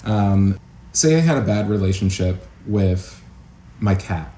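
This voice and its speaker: A man talking casually as an interview guest, an acting teacher explaining his craft in a thoughtful, halting way. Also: low-pitched, expressive, dark tone. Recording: roomy echo, faint background noise.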